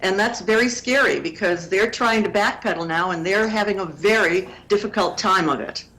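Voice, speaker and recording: A woman in her seventies from Connecticut, a guest explaining her activist group in slow, earnest interview answers. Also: mid-range voice, fairly bright tone, rough recording. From Connecticut, USA.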